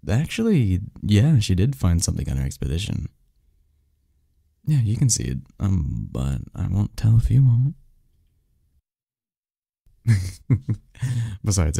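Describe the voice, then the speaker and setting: Snarky bookish male research assistant played by a man for ASMR roleplay; deep, gravelly, wry, fond, chuckling under his cynicism. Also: mellow, no room sound, clean studio sound, full character voice. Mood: wry, casual. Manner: wry, halting and chuckling, drifts into bookish lecturing. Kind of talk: intimate ASMR audio roleplay.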